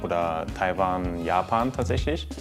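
A man's voice: deep voice, unhurried. A man in his twenties from a family business, talking in a laid-back, casual way in an interview. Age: 20s. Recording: guitar music underneath.

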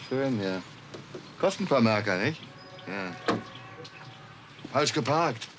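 An actor playing a brash, sarcastic police detective who talks gruffly and bosses people about. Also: male voice, fairly low pitch, animated, very slow, a bit bright, slight background noise, exaggerated voice. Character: brash, blunt, mocking male police detective. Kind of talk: TV crime drama acting.

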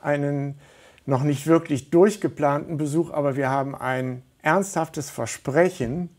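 A man's voice: fairly high pitch, steady tone, unhurried, a little mellow. A middle-aged man speaking as a guest in a studio interview, earnest and hesitant, with many fillers. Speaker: studio guest, volunteer organizer.

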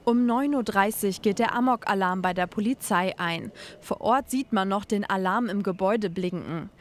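Measured female news reporter. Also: medium pitch, a little hiss or hum. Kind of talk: scripted news narration.

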